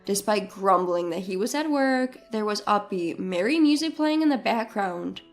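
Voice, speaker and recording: A woman's voice: medium pitch, animated, moderate pace. A woman reading a scripted first-person story as its narrator. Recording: music behind the voice. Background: background music.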